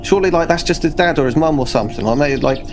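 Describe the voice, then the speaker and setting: Brit guy, bit high voiced, earnest stop-start roleplay in a tabletop game, music under. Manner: halting, repetitive, earnest. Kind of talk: improvised in-character role-play.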